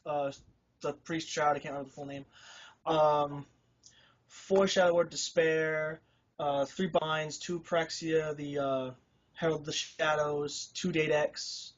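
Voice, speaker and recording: A man's voice: fairly high pitch, flat delivery, a little slow. A man being interviewed about his win, modest and hesitant, with frequent fillers. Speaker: tournament winner being interviewed. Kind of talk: casual interview. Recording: lo-fi recording.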